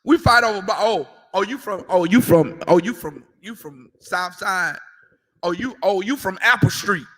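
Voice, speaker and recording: A man's voice: high voice, normal speed, a bit bright, big-room sound. A man giving a confrontational sermon as a street preacher, emphatic and repetitive, with mocking asides.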